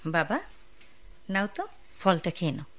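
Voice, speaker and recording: Casting: responsible young daughter, earnest yet firm, lowish voice, light music behind, radio drama. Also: female voice, very animated, a little slow, a bit bright, heavily acted. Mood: fond scolding. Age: young adult. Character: devoted, dutiful, firm young working daughter.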